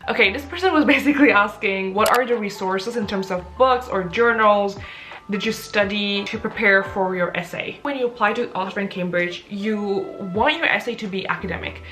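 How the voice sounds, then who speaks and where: A young woman from Indonesia chats in English with an Indonesian accent, her pitch sitting in the middle for a woman. She rambles casually, stopping to restart her sentences, and faint music plays under her voice. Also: moderate pace, pushed and bright. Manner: chatty with frequent fillers and restarts. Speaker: vlogger answering viewer questions. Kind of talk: casual talking-head Q&A.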